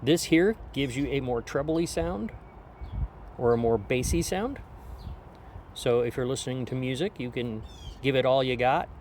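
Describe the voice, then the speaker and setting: A man's voice: medium pitch, lively intonation, moderate pace, pauses often, darkish tone. Casual man reviewing gear, noisy outdoor recording. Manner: informal, explanatory. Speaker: product reviewer. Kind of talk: casual hands-on gadget review. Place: outdoors on a back deck. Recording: outdoor background noise.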